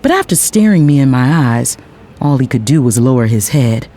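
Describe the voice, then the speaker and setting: A woman's voice: extremely low pitch, big pitch swings, moderate pace, mellow, full character voice. A woman playing a betrayed young attorney in an audio drama, biting and accusatory, wounded and scornful. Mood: bitter narration. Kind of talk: dramatic audio drama dialogue with first-person narration.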